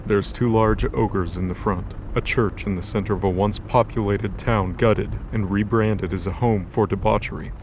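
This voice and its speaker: A man's voice: on the low side, fairly even intonation, normal speed, few pauses, darkish tone, slight background noise. A man reading scripted, dramatic narration for an audio drama story. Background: background music.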